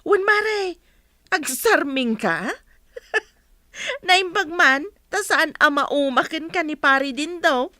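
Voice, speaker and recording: Mocking village vixen, bright piercing high voice, vain and catty, radio drama. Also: female voice, expressive, takes it slowly, dry recording, studio quality, exaggerated voice. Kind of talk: Philippine radio drama acting.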